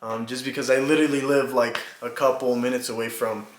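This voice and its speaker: A man in his twenties from Mexico, chatting casually to camera about himself, relaxed and rambling with lots of fillers and little laughs. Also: mid-range voice, fairly quick, a little hiss or hum. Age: 20s. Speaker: vlogger. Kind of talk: casual get-to-know-me chat. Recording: echoey room.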